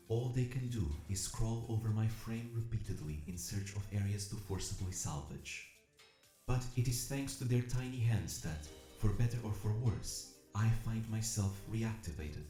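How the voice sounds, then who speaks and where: A man plays a damaged robot narrating its own audio drama, formal and precise in a soft, mellow tone. The phrasing is measured and clinical, yet the pitch moves expressively. The voice rings in a roomy space with music behind it. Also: lightly acted. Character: damaged military robot awakening, logical, methodical, quietly curious.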